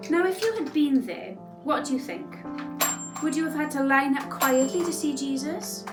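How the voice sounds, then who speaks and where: A woman's voice: high-pitched, expressive, moderate pace. Woman reading a story in an echoey room. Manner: asks children questions while reading. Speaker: story reader. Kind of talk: children's storytelling. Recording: echoey room with loud music. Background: music.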